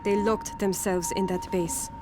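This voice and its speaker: A woman's voice: medium pitch, little pitch movement, measured pace, music in the background, natural voice. An actress playing a gracious but wary woman who survived a plague, polite and sober, in a video game. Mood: somber, bitter. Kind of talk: video game cutscene acting.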